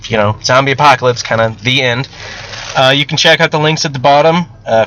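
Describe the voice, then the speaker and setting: Bright-voiced man rambling casually to viewers. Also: mid-range voice, dull audio. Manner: rambling, candid, self-deprecating; casually profane. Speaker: amateur novelist and video host. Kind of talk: casual, unscripted talk to viewers.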